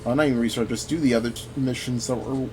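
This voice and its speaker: A man gives casual commentary over a video game in a mid-pitched voice. He rambles and thinks out loud as he goes. A clear steady background noise runs under his voice. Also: fast. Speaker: gaming video host.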